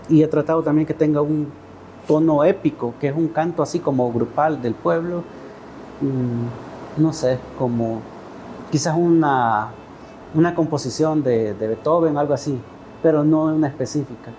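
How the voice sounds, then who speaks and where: Mellow, hesitant Salvadoran man, some background noise. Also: a little high, rough recording. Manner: thoughtful, hesitant, often seeking agreement with tag questions. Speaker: interview guest, poet and writer. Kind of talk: reflective interview answers.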